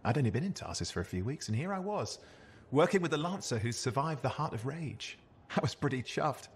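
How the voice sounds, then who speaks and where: A man's voice: medium pitch, very animated, fairly quick. A man acting a chatty, restless young sidekick in a video game, with jokey, boastful banter that hides some insecurity. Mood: reminiscing.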